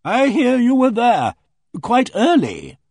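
Booming bossy know-it-all woman, as a male reader puts her on, voice jumping way up high, dry close sound. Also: wildly swooping pitch, moderate pace, breathy edge, no room sound, full character voice. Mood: brisk, booming. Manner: loud, blunt, self-assured. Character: booming, bossy, complacent middle-aged woman.

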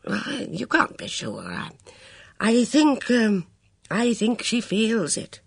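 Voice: medium pitch, wildly swooping pitch, creaky, husky, no room sound, clean studio sound, voice pushed up, exaggerated voice. A reader voicing a hesitant, kindly older military man who stammers and halts between words. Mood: flustered. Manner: stammering, halting, full of fillers.

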